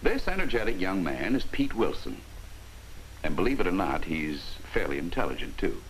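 A man's voice: deep voice, very animated, moderate pace, rough recording. An American man narrating a 1950s training film in a wry, folksy, emphatic way. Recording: hissy 1950s film soundtrack.

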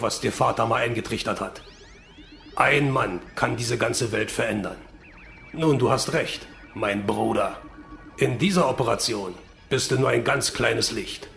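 A man playing a bitter, gloating villain in a radio drama, deliberate and taunting. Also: mid-range voice, creaky, sharp tone. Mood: smug. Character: bitter, gloating villain, vengeful disowned son.

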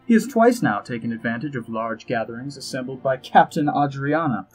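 Man acting a suave elven spymaster, slightly husky. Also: mid-range voice, expressive, poor audio. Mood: measured, grave. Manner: measured, arch, dryly witty, eloquent. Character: suave, wry, haughty male elf spymaster and diplomat. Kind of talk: dramatic scripted monologue in a fantasy audio drama. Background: background music.

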